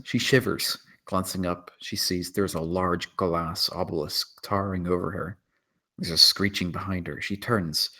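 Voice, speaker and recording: A man's voice: deep voice, a bit bright. A man reading out stage directions as scripted screenplay narration at a table read.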